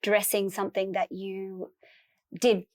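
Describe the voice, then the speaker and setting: A woman's voice: medium pitch, level tone, measured pace, darkish tone. Woman hosting an interview. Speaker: interview host. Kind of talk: conversational interview.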